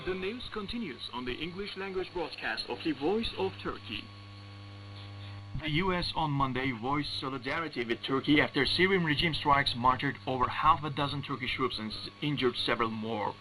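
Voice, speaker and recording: A man reading formal scripted news as a radio newsreader, in a measured, deliberate way, over shortwave radio. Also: medium pitch, sharp tone. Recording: noisy humming shortwave radio broadcast.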